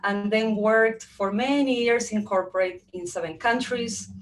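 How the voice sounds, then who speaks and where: A woman's voice: medium pitch, unhurried, poor audio. A middle-aged woman from Argentina, a native Spanish speaker with an Argentine accent, telling her personal story as a motivational guest speaker. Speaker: guest speaker, entrepreneur and coach.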